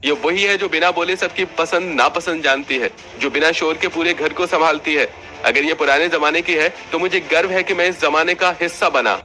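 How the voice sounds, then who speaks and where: A man's voice: a little high, moderate pace, sharp tone, slight background noise, voice pushed up. A man voicing an earnest, protective young husband in a family cartoon, speaking emphatically. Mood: indignant. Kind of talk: Hindi cartoon family drama dialogue.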